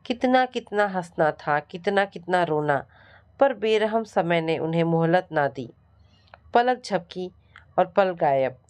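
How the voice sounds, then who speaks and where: A woman's voice: lowish voice, animated, slow pace. A woman storyteller giving a scripted, dramatic narration of a family story.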